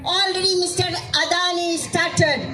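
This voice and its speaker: A woman, her voice worn hoarse, giving a fervent rally speech, shouting and asking rhetorical questions. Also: very high voice, fairly even intonation, unhurried. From India. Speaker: activist speaking at a rally. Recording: speech over a cheering crowd.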